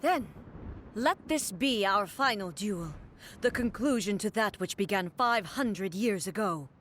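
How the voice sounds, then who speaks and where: An actress voicing a stern, composed goddess ruler in a video game, speaking in a slow, measured, solemn way. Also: female voice, mid-range voice, lively intonation, a bit bright, a little hiss or hum. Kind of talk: video game cutscene dialogue. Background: faint game music.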